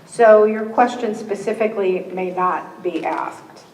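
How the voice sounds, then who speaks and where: Hoarse woman moderating a forum in an echoey hall. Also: medium pitch, unhurried, darkish tone, slight background noise. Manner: friendly, joking asides to the audience. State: slightly hoarse, losing her voice. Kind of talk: reading scripted opening rules with casual asides. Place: meeting room with a live audience. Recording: echoey hall, distant mic.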